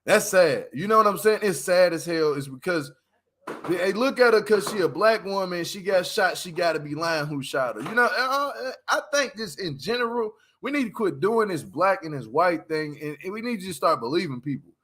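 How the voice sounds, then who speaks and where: A man with a high, forceful voice hosts a casual livestream. He gives his opinions emphatically, leaning on slang and repeating his points to drive them home. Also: expressive, normal speed. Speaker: livestream host.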